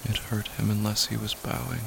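A man narrating a horror story in a somber, grave and hushed manner, with deliberate pauses. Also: on the low side, little pitch movement, gravelly. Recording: loud rain noise behind voice.

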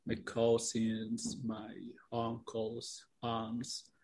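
A man's voice: mid-range voice, completely flat delivery, drawn-out words, darkish tone. A young Mexican man whose first language is Spanish, co-hosting a casual podcast chat over a remote call, hunting for words and repeating himself. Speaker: co-host.

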